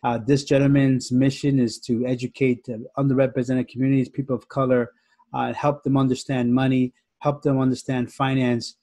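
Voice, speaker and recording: A man in his thirties hosting a podcast interview in a measured, polite manner. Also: medium pitch, flat delivery, dark tone, no room sound. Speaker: podcast host. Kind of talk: interview introduction and questions. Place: indoor room, on a video call.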